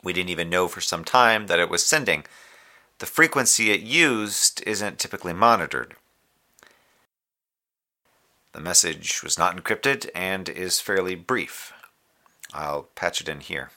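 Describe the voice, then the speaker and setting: A man playing a formal, dutiful moonbase communications officer giving a measured, precise report in an audio drama. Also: sits low, animated, sharp tone, exaggerated voice. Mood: businesslike. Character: formal, dutiful male moonbase radio officer, tender underneath. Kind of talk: audio drama scripted log transmission.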